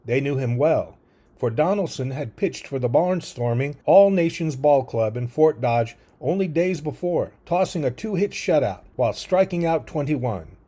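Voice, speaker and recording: A man reading a scripted historical essay aloud in a measured, clearly enunciated way. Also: fairly high pitch, lively intonation, warm tone. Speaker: audio essay reader.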